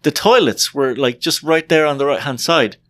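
A young man co-hosting a podcast, chatting easily with quick interjections and frequent laughter. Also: mid-range voice, fairly bright tone. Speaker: animator and co-host. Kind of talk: casual podcast chat.

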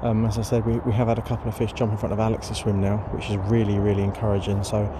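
A man chatting casually by a lake about carp fishing, rambling with plenty of fillers, his voice going hoarse. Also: on the low side, little pitch movement, fast. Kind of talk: casual vlog chat to camera. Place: outdoors by a lake. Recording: noisy outdoor recording.

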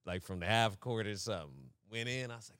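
A young man from Fresno co-hosting a podcast, telling animated stories and breaking into laughs and shouts at the punchlines. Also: lowish voice, fast, a little hiss or hum. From Fresno, California. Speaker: podcast co-host. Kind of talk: casual storytelling chat.

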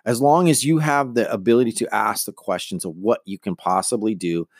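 Middle-aged guy rambling on a podcast, lowish voice, dry close sound, lots of um and you know. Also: a little fast, dry recording. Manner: rambling, many fillers and restarts; earnest, motivational. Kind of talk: casual monologue on personal branding.

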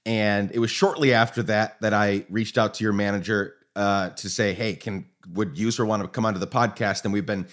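A man's voice: fairly low pitch, steady tone, moderate pace, hardly any pauses. A man hosting a podcast, enthusiastic and effusive, often stumbling and restarting his sentences. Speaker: podcast host. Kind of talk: casual podcast interview. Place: Nashville.

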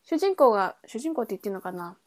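A woman's voice: medium pitch, big pitch swings, quick pace. A woman podcast host talking casually and informally, thinking aloud and correcting herself as she explains things. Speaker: podcast host introducing a book. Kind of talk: casual chat explaining a novel.

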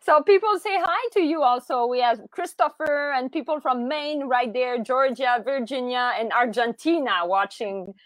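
A French Canadian woman from Montreal, a native French speaker, hosting a live podcast, enthusiastic and halting, with many restarts. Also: sits high, dry recording. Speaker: podcast host. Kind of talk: live-streamed interview podcast.

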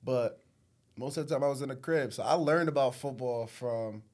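A young man born in Africa and raised in Connecticut, a college football player telling stories in a laid-back, halting, slangy way as a podcast guest. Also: mid-range voice, a little mellow. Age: young adult. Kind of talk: casual chat.

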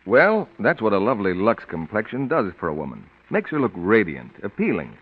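A male announcer reading a commercial for a 1940s radio show in a smooth, persuasive manner. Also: lowish voice, huge pitch swings, brisk. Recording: dull 1940s radio broadcast with hiss.

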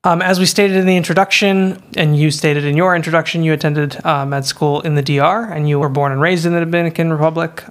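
A man's voice: on the high side, fairly even intonation, fast talker, few pauses. A young man co-hosting a student podcast, asking questions casually and hesitantly. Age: young adult.

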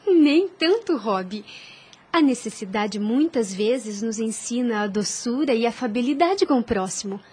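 A woman playing a gentle, pious young street singer and caring sister in a radio drama, speaking with earnest formality. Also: a little high, expressive, unhurried, breathy edge. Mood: gently instructive.

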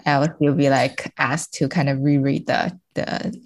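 A woman's voice: extremely low pitch, level tone, measured pace, slight background noise. A woman who is a native Mandarin speaker, talking casually about books as a podcast guest in a self-deprecating way. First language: Mandarin Chinese. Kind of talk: casual book discussion.

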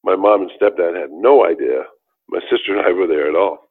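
Brief: older man, very low voice, rambling storyteller, heard over a tinny phone-like line. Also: brisk, breathy edge. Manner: rambling, with many restarts and fillers. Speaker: guest telling his life story. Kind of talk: casual storytelling interview.

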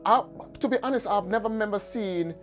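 Jamaican man telling stories, relaxed. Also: high voice, fast talker, soft background music. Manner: relaxed storytelling, repeats phrases. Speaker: guest. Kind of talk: casual chat.

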